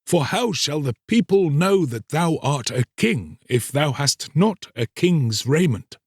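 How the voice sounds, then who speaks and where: A man's voice: a little high, lively intonation, a little slow, slightly rough, no room sound, clean studio sound, voice pushed up. A man voicing an anxious, deferential royal chamberlain in a storybook tale, pleading in courtly, archaic speech.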